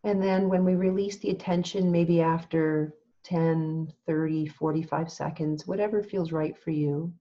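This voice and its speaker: A woman teaching meditation in a calm, gentle, measured way, leaving long pauses as she gives instructions. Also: deep voice, muffled recording.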